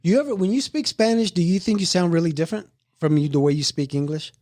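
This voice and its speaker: A man's voice: sits high, quick pace, darkish tone, dry recording, clean studio sound. A middle-aged man hosting a family podcast, chatting casually and rambling with many restarts. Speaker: podcast host.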